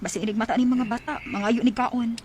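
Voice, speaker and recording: A woman's voice: a little high, fairly even intonation, fast talker, a little mellow, close to an everyday voice. A woman playing a poor, overburdened mother fed up with her irresponsible husband, acting in a Filipino radio drama with a Cebuano accent. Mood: worried, tender.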